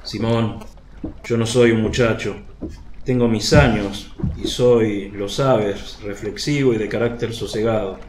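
An actor playing a mature, thoughtful fisherman in a radio drama, calm, measured and earnest. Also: fairly low pitch, close to an everyday voice. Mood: solemn, persuasive. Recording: roomy, faint noise, water ambience.

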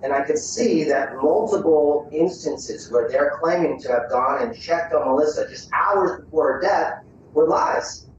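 A man, the family's lawyer, making firm, deliberate assertions in an interview over a video call. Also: fairly high pitch, animated, very echoey, distant mic, highs cut off, a little hiss or hum. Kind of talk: interview statement.

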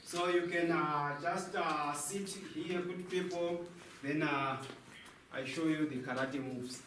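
A man's voice: on the high side, steady tone, slow pace, a bit bright. A young man working as a tour guide, giving a guided cave tour talk. He explains things by asking a question and then answering it himself. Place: large cave, indoors. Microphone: handheld mic, camera a few meters away. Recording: echoey cave, faint noise.

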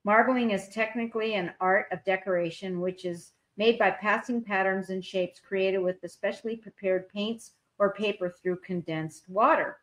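A woman on a video call reads artists' statements aloud, one after another. She pauses and stumbles over unfamiliar words, then carries on at a middling pitch, recorded at home. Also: steady tone, low-quality recording. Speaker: public arts commissioner. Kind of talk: reading artwork entries aloud in a meeting.

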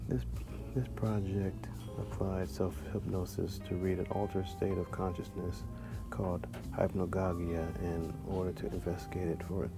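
A man's voice: low-pitched, flat delivery, moderate pace, rough recording. A man in his forties, a travel vlogger, musing aloud with fillers while browsing a large library. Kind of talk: casual vlog commentary. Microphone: handheld or chest-mounted action camera. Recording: clear background music under voice. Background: background music.

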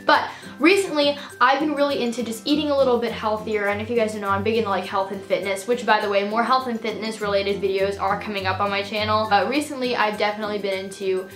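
A woman's voice: mid-range voice, steady tone, fast talker, fairly bright tone, reverberant, faint music behind. A teenage girl chatting in a casual shopping haul vlog, gushing and full of fillers, a little stuffed up and losing her voice. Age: teens. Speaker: vlogger. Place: bedroom.

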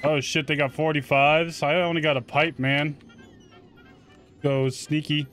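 Higher-voiced man casually commentating a game. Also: little pitch movement, unhurried, a bit bright, faint music behind. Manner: informal, slangy, mild swearing. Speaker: video game streamer. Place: close mic indoors, game music behind.

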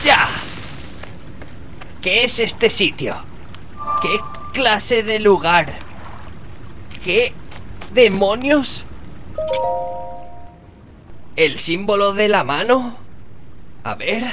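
A man's voice: sits high, lively intonation, extremely slow, long pauses, music in the background, full character voice. A man playing an anxious, determined young fantasy hero in a Castilian Spanish video game dub, speaking in short exclamatory phrases. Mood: determined, puzzled. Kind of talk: dramatic video game dub, talking to himself. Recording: clear background noise under the voice.

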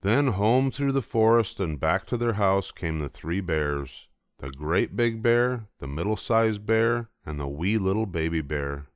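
A man's voice: sits low, lively intonation, measured pace, no room sound, clean studio sound. An older man reading a children's storybook aloud as scripted narration. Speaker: storybook reader.

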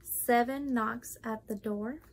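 High-pitched young woman reading storytime. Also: fairly even intonation, slow, slight background noise, poor audio. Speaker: children's librarian, storytime host. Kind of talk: read-aloud storytime for children.

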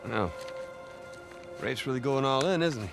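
A man's voice: mid-range voice, expressive, normal speed, creaky, over music. An actor playing a driven, confident middle-aged treasure hunter in a video game, an American man with a casual, cocky manner. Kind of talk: cinematic video game acting.

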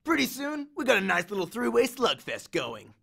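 A man's voice: high-pitched, huge pitch swings, normal speed, gravelly, pushed and bright, breathy edge, voice pushed up, full character voice. A man voicing a swaggering, menacing biker war god with a cocky, taunting, slangy way of talking, as an audiobook character. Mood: gleeful, taunting.

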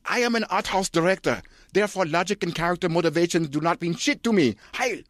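Man playing a high-pitched, pompous film director. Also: fast talker, fairly bright tone, no echo, studio quality. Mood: pompous, shouting. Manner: exclamatory, pretentious. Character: pompous male film director shouting outbursts.

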